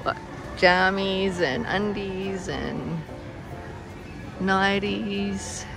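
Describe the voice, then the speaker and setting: A woman's voice: medium pitch, steady tone, very slow, vocal fry, music in the background, poor audio. An Australian woman chatting casually as she films a shopping vlog in a large store, often laughing. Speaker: vlogger. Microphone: handheld phone camera.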